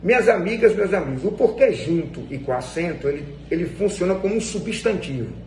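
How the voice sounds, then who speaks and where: A man's voice: high-pitched, lively intonation, brisk, darkish tone. A Brazilian man giving an instructional grammar lesson in a didactic, emphatic way, repeating phrases for stress. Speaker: Portuguese grammar teacher. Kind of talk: instructional lesson explained to viewers. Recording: echoey room with faint background noise.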